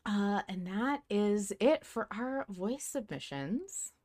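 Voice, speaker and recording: A woman's voice: medium pitch, expressive, slow, a little mellow. A woman chatting casually and playfully as the host of a fan podcast, in an informal way.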